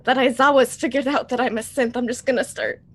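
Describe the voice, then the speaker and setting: A woman's voice: fairly high pitch, fairly even intonation, a little fast, a little hiss or hum. A woman chatting casually as a player in a tabletop roleplaying game. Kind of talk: casual chat.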